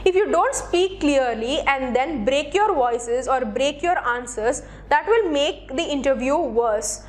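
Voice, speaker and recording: A woman's voice: sits high, expressive, unhurried. A woman presenting instructional advice in a didactic way, speaking directly to the listener in a semi-scripted style. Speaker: presenter of interview tips for job seekers.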